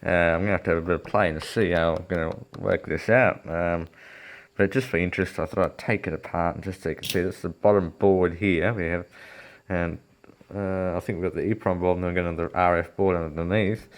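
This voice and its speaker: A man's voice: sits low, steady tone, fairly quick. A man giving a casual, unscripted electronics repair walkthrough, hesitant and rambling as he thinks aloud. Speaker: electronics repair hobbyist presenter. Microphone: phone filming the workbench.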